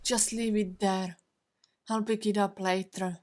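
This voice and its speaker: A woman's voice: mid-range voice, little pitch movement, a little slow, darkish tone, slight background noise, close to an everyday voice. An actress playing a proud, bitter young woman in an audio drama, guarded, curt and sarcastic.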